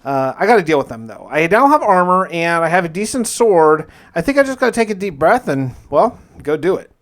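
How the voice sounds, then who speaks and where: A man with a fairly high-pitched voice narrates a survival game as first-person roleplay. He talks casually and confidingly, as if keeping a personal log, using mild everyday exclamations. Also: sing-song, brisk. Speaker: gamer narrating his survival challenge.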